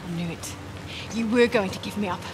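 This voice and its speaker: A woman's voice: medium pitch, a little fast, noisy background, lightly acted. A woman playing a tough, guarded and short-tempered mercenary commander in a video game, blunt and curt. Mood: angry, accusing. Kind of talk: cinematic video game acting. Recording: loud cinematic music behind.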